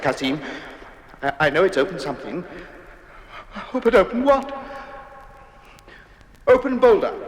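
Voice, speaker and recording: A man's voice: fairly high pitch, a bit bright, full character voice. An actor playing a greedy, easily flustered man in a theatrical children's story record from the 1960s. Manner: theatrical, increasingly frantic and pleading. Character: greedy, flustered Persian man trapped in a cave. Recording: long cavernous reverb.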